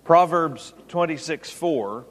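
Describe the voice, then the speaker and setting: A man's voice: on the high side, animated, slow pace, long reverb tail. A man preaching a sermon, explaining scripture and raising his voice when quoting. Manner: expository preaching, raises voice for quoted lines. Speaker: pastor.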